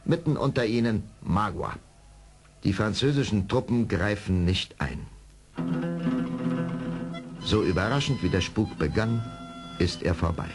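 Casting: male narrator for adventure radio play, deep-leaning and lively, dramatic scripted read over faint music. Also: a little slow, long pauses.

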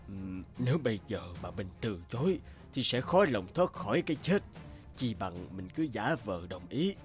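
A man voicing a gentle, worried middle-aged merchant father in a cartoon fairy tale, with a hesitant, sighing delivery. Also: fairly low pitch, big pitch swings, moderate pace. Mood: uneasy scheming. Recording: loud music bed behind voice.

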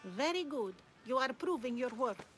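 An actress playing an enigmatic, lofty older wise woman in a video game, speaking in a measured, cryptic, superior way. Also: sits high, expressive, fairly bright tone, soft background music. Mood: cryptic, testing. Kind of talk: video game cutscene dialogue.

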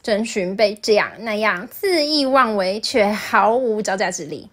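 A woman's voice: mid-range voice, animated, measured pace, slight background noise. A woman narrating a scripted review as the host of a video.

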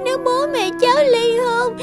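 Very high-pitched, sad little girl. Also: steady tone, moderate pace. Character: distressed young girl pleading about her family. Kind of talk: cartoon dubbing. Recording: loud children's music behind the voice.

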